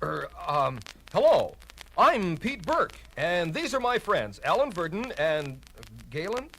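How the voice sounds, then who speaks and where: An actor playing a plain-spoken, skeptical astronaut in a 1970s audio adventure, talking in a blunt, folksy, emphatic way. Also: male voice, high voice, very animated, measured pace, vocal fry, a bit bright, exaggerated voice, rough recording. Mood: puzzled. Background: faint music.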